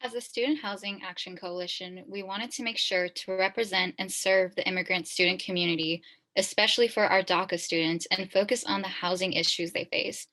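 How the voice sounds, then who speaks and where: A woman's voice: mid-range voice, steady tone, brisk, pushed and bright. A woman in her twenties from Los Angeles with an American accent, giving a scripted student presentation. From East Los Angeles, United States. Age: 20s.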